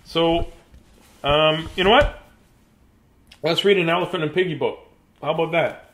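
A man's voice: on the high side, a little slow, a bit bright. A middle-aged man chatting casually and playfully as he reads bedtime stories aloud to children. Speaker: children's bedtime story reader. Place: small cluttered room indoors.

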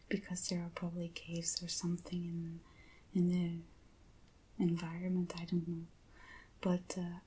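A young adult woman doing soft-spoken ASMR in a breathy, whispery voice, gentle and unhurried, close to the microphone. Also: mid-range voice, a little hiss or hum, low-quality recording. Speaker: ASMR creator unboxing items. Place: indoors at a table with a backdrop.

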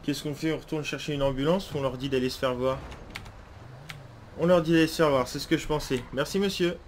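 Excitable high-voiced man joking while gaming. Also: fairly quick, background noise. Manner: excitable, jokey. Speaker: gaming video host and player. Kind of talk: casual joking in-game roleplay chat.

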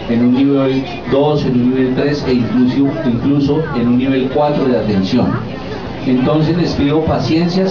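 Un hombre de mediana edad, médico visitante, da un discurso público con micrófono de mano ante una multitud, pidiendo paciencia en tono tranquilizador. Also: male voice, medium pitch. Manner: reassuring, organizing, asks for patience. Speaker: visiting doctor addressing patients. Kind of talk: public speech. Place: covered open-air public space with a crowd. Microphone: handheld mic. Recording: echoey, dull, loud crowd behind.

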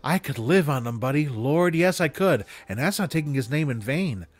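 A man voicing an affectionate, excitable elderly woman from a storybook, folksy and earnest in manner. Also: fairly high pitch, normal speed, dry recording. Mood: delighted.

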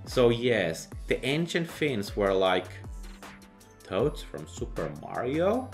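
A man narrating a sardonic book review, dry and mocking with sudden loud outbursts. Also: medium pitch, sing-song, takes it slowly, faint music behind. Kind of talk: sardonic video essay narration.

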